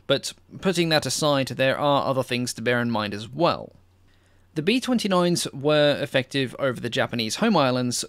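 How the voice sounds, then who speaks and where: A young man hosting a history channel Q&A, explaining military aviation in a measured, informative way with casual asides. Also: medium pitch, fairly bright tone, no echo.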